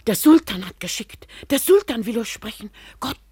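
An actress playing an excitable, anxious woman of a merchant's household in a 1950s German radio drama, exclaiming with urgency. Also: on the high side, normal speed, slightly rough, no echo, studio quality. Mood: agitated, urgent.

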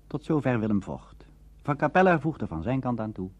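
A man narrates a radio history documentary from a script. His pitch moves in an animated way, and a light layer of noise sits behind his mid-range voice. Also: normal speed, highs cut off. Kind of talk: scripted narration linking interview clips.

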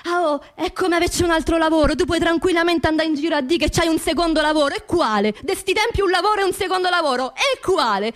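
A woman with a high voice and a Roman accent tells a story as a theatrical radio monologue. Her language is colloquial and earthy, and she leans on words by saying them again and again. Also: quick pace, few pauses. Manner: emphatic repetition of words and phrases. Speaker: stage actress performing her own monologue.

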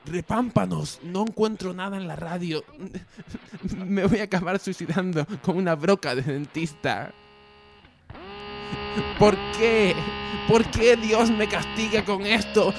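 Man acting a despairing, high-pitched melodramatic youngster. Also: fairly even intonation, unhurried, noisy background. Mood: anguished despair. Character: despairing, melodramatic youngster begging to end his misery. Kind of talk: parody 1980s American TV drama promo.

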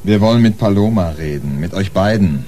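Male plainclothes cop, radio crime drama: lowish, animated, laid-back, familiar, faint music behind. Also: fairly quick. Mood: blunt. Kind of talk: radio play acting.

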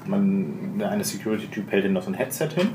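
Male game master for tabletop session, deep-leaning voice, improvised storytelling, echoey noisy group recording. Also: lively intonation, quick pace. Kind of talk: improvised narration of a tabletop role-playing game. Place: room with other players at a table.

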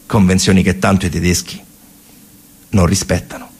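Husky guy narrating a stage monologue, lowish gravelly voice, emphatic short phrases, colloquial. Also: little pitch movement, moderate pace, over loud music.

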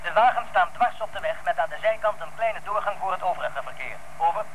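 A man's voice: sits high, fast talker, fairly bright tone. A man acting in a radio drama as an alert policeman giving clipped, procedural reports over the police radio. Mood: businesslike. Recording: faintly noisy police radio sound.

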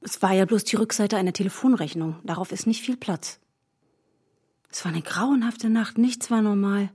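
An actress playing a shaken but resolute witness in an audio drama, speaking earnestly. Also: female voice, medium pitch, moderate pace, darkish tone, husky, no echo, clean studio sound, close to an everyday voice. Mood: evasive. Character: shaken but resolute woman witness explaining a violent night.

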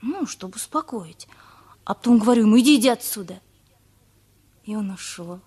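Lively teen girl, really high voice bit husky, chattering away, radio play. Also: moderate pace. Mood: candid, animated. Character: lively, chatty teenage girl just out of school.

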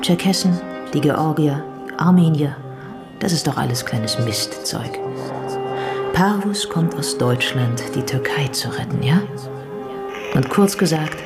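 A woman's voice: low voice, lively intonation, a little slow. A woman playing a cold, dismissive political operator in a radio drama, curt and contemptuous, with music underneath.

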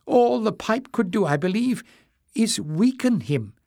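Man doing high-voiced, doddering old librarian, eager and mumbling, storybook read, dry mic. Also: expressive, measured pace, mellow, no echo. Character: frail eager old male royal librarian.

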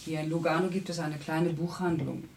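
A woman's voice: low-pitched, fairly even intonation, brisk, darkish tone, a little hiss or hum, rough recording. An actress playing an educated young Russian woman who recalls events reflectively and precisely in a radio drama. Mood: wry. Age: young adult. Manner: reflective, precise, descriptive storytelling. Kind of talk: radio drama monologue as recollection.

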